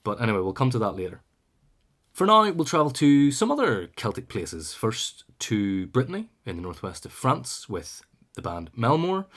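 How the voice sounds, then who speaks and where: A man hosting a music show, talking casually between songs in a relaxed, musing way, with frequent ums and dry asides. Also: medium pitch.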